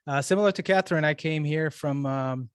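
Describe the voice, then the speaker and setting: A man's voice: a little high, a little fast, clear, resonant tone, dry recording, clean studio sound. A middle-aged man speaking casually and off the cuff as a panel guest on a video call, with conversational, hesitant phrasing. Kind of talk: casual, unscripted self-introduction.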